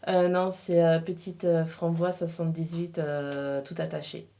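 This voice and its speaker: A woman interview guest with a low, soft-edged, mellow voice. She speaks slowly and hesitantly, searching for words as she answers in a casual way. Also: flat delivery. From Portugal. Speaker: interview guest, freelance embroiderer.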